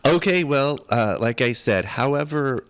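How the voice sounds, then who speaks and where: A man's voice: medium pitch, lively intonation, measured pace, smooth, no echo. A man hosting a podcast, introducing the show and chatting with his guest in a friendly, easygoing way. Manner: friendly, chatty, encouraging interjections. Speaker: podcast host. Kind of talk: podcast narration and interview.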